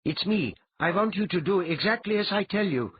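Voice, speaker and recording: A stern, commanding female spirit acted by a male narrator in a fantasy audiobook. The voice is pitched high and very husky, with sharp, impatient orders, in a dry, slightly muffled recording. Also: lively intonation, moderate pace, dry recording, full character voice. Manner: sharp, imperative.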